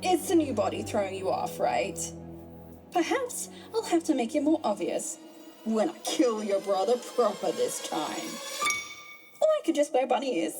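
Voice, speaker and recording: Audio drama villainess: crazed genie, sadistic and singsong, high-pitched, very animated, music behind. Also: female voice, normal speed. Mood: gleeful menace. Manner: taunting, theatrical, singsong villainy. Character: gleefully sadistic possessing psycho bunny genie woman. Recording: music under the voice.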